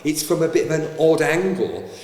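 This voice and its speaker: A man's voice: fairly high pitch, normal speed. Man telling stories in an echoey room. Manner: animated, acts out voices in his stories. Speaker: panto actor. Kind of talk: anecdotal storytelling. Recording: roomy, echoey with long reverb.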